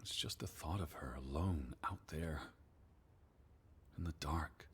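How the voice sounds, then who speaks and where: An actor playing a weary, hesitant American naturalist of the 1920s in a video game, speaking in a halting, subdued way. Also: male voice, fairly bright tone, full character voice. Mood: worried. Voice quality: low, husky.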